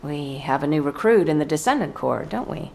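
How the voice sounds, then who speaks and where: A woman's voice: deep voice, expressive, a little fast, vocal fry, dark tone. A woman playing a middle-aged, hardened female soldier and mother in a video game cutscene, composed and wry. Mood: brisk, teasing.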